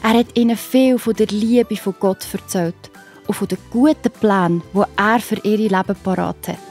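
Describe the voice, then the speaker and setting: A woman's voice: medium pitch, normal speed, darkish tone, faint music behind. A woman with a Swiss accent narrating a children's story, speaking directly to the young listener with wonder.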